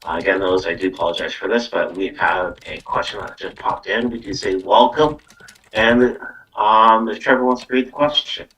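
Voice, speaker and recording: A man's voice: fairly low pitch, moderate pace, a bit bright. A man hosting a live psychic card reading in casual, rambling talk, often repeating words and tagging phrases with "though". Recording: distant from the microphone.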